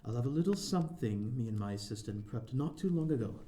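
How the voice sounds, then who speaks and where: A man's voice: medium pitch, expressive, fast talker, mellow, lightly acted. A man playing a courteous, lonely vampire host in an ASMR audio roleplay, speaking in a formal, flowery, slightly flustered and hesitant way. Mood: sheepish, hospitable. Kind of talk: ASMR audio roleplay, scripted character acting.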